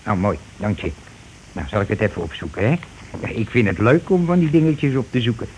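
An actor playing a bossy, indignant, petty working-class husband in a Dutch radio play, with a blustering, colloquial way of talking. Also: male voice, on the low side, animated, brisk, darkish tone, lightly acted. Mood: self-important. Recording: noisy old radio play recording.

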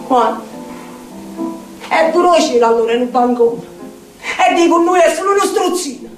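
An actress playing a tormented street outcast in a theatrical monologue, speaking incantatory, repetitive lines with a Neapolitan accent. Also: female voice, high-pitched. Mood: desolate, searching. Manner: incantatory, dramatic, repetitive phrasing. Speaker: stage actress. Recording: echoey distant stage sound with music. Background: plucked guitar music.

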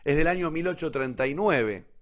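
A man's voice: on the high side, expressive, quick pace. A man with an Argentine accent presents a literature segment in an informal lecturing style. He is didactic, stressing and repeating the important points. He often checks in with short tag questions as he explains. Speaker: literature presenter on a radio theatre programme.

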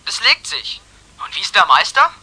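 A man's voice: high-pitched, huge pitch swings, moderate pace, pushed and bright, breathy edge, full character voice. A man playing a teasing, easygoing young friend heard through a telephone filter in a radio drama. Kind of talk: 1970s German radio drama acting. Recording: tinny, noisy telephone line.

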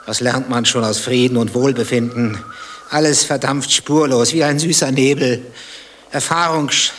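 An actor playing a brooding, bitter betrayed husband in a radio play, speaking in terse, probing, sardonic lines. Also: male voice, medium pitch, fairly even intonation, normal speed, fairly bright tone, slight background noise, natural voice. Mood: bitter philosophising. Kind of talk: German radio play dialogue.

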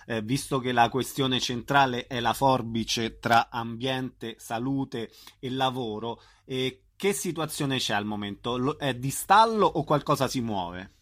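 A man's voice: mid-range voice, a little slow, hardly any pauses, a bit bright. A man hosting a conversational radio interview, putting questions to his guest.

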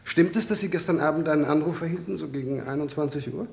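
An actor playing a sharp, cunning male prosecutor, formal and insistent, in a classic crime radio play. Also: fairly high pitch, quick pace, vocal fry. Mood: pressing, sly. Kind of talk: classic German crime radio drama acting. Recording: echoey courtroom-like hall, background noise.

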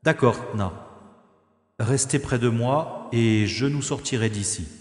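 A man voices a composed special agent who narrates his own adventure in the first person, dropping dry, wry asides. His voice sits at a middle pitch, and the recording has a roomy echo with a long reverb and music underneath. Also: measured pace, darkish tone, close to an everyday voice. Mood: calm. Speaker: lead character and narrator.

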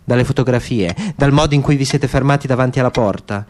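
A man voicing a calm old European woman in a radio play, speaking carefully and hesitantly as if choosing words. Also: mid-range voice, quick pace, natural voice. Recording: clear background noise with clicks.

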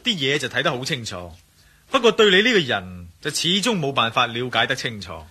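A man's voice: medium pitch, sing-song, brisk, pushed and bright, husky, full character voice. A man playing a bold, suspicious adventurer in a radio drama, speaking Hong Kong Cantonese in a commanding manner. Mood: suspicious, musing.

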